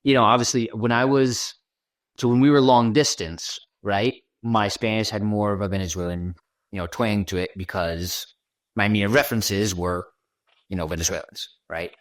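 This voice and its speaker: A man with a low-set voice and a neutral American accent talks easily as an interview guest, leaning on "you know" and "right" as he goes. The recording is close and dry, with hardly any room sound. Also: normal speed, dry recording. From Washington D.C., USA. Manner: relaxed, chatty, many fillers like "you know" and "right". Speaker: podcast guest, content creator. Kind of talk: casual interview conversation.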